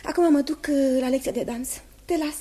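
A woman's voice: high-pitched, noisy background, lightly acted. An actress playing a playful, hopeful young fiancée in a radio drama, girlish and teasing. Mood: light.

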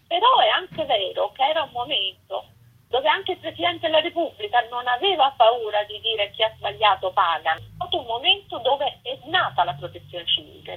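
A woman's voice: on the high side, husky. A woman, speaking reflectively and emphatically at length in a spontaneous radio interview. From Campania, Italy. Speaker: interviewed photographer. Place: remote phone call to a radio show. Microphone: telephone. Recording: narrow, tinny phone line.

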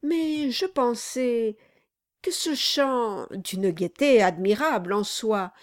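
A woman voicing an obsequious, timid male lawyer who wheedles and flatters. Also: on the high side, takes it slowly. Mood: wheedling. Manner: fawning, wheedling, deferential. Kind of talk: audiobook reading.

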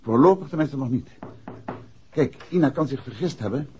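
An actor playing a composed, courteous and shrewd amateur detective in a 1960s Dutch radio play. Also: male voice, medium pitch, animated, normal speed, warm tone, a little hiss or hum, lightly acted. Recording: dull, muffled vintage radio recording.

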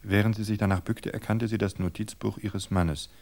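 Male narrator, lowish voice, calm, measured, scripted book reading.

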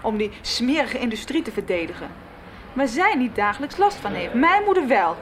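A woman's voice: on the high side, sing-song, normal speed, slight background noise. An actress playing a spirited, mocking teenage schoolgirl in a radio soap. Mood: indignant.

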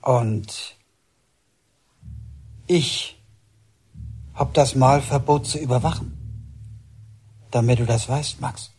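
An actor playing a dutiful, stubborn official in a radio drama, speaking stiffly in clipped, insistent phrases. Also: male voice, mid-range voice, steady tone, slow, long pauses, darkish tone, slightly rough. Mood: stiff, reluctant. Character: dutiful, stubborn male official delivering orders.

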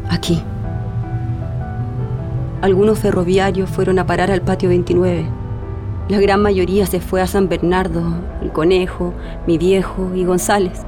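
An actress with a Chilean accent playing a grieving daughter giving intimate, emotional testimony in a radio drama. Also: female voice, on the low side, level tone, a little slow, long pauses, natural voice. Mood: sorrowful, reflective. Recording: music behind the voice.

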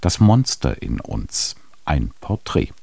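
A man's voice: very deep voice, very animated, takes it slowly, gravelly, a little mellow, no room sound. A man giving a scripted introduction as a radio presenter.

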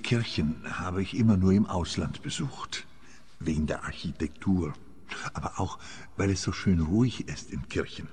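A man acting a brooding, melancholy fugitive in long church-like reverb. His low voice is dark and husky, Swiss-accented, with a halting, reflective radio-play delivery. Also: soft background music, natural voice. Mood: musing. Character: weary, melancholy, brooding male fugitive client. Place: scene set in echoing church.

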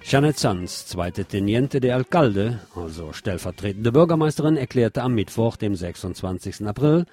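A man with a fairly low voice presents the news on radio. He reads scripted reports as narration, with faint guitar music playing behind him. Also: lively intonation, moderate pace, hardly any pauses, a little mellow.

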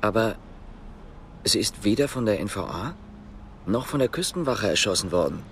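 Casting: wary East German detective, lowish voice, terse and probing, radio drama with faint background noise. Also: male voice, animated, moderate pace, a bit bright. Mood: sceptical, insistent.

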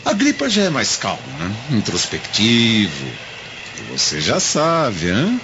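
Expressive, low-voiced Roman senator. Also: male voice, slow, heavily acted. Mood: genial. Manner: expressive, emphatic, theatrical delivery. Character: Roman senator, sensible, reassuring older friend, firm traditionalist. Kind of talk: radio drama acting. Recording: dull, noisy lo-fi radio drama recording.

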